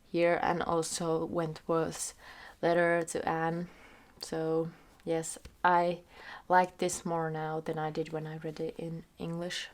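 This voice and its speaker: Sick Finnish woman chatting about books. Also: lowish voice. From Finland. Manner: chatty, loose, thinking aloud with fillers. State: sick, slightly hoarse. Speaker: book vlogger. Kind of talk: casual chat to camera. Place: small room in front of a bookshelf. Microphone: camera in front at desk distance.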